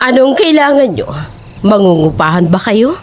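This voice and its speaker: A woman's voice: mid-range voice, big pitch swings, loud music behind the voice, natural voice. A woman playing an eerie old landlady in a horror audio drama, speaking in a creepy manner. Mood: creepy, probing. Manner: slow, creepy, chuckling. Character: eerie old landlady of a lonely apartment house.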